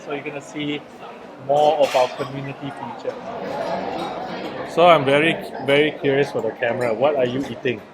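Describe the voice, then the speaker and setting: A man from Singapore with a Singaporean English accent, interviewing a young poet in a chatty, animated way. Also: medium pitch, normal speed, noisy background. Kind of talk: casual interview chat.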